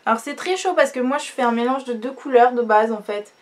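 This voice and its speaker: A young woman with a stuffy nose from a cold, chatting casually through a makeup tutorial with many fillers and trailing off quietly. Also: fairly high pitch, fairly quick. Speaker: beauty vlogger.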